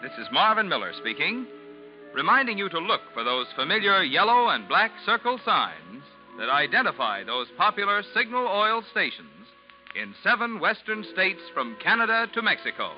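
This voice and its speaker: A man's voice: sits high, sing-song, moderate pace. A man reading sponsor copy and credits as a polished, declamatory 1940s American radio announcer, on an old muffled broadcast. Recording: muffled vintage radio, faint music.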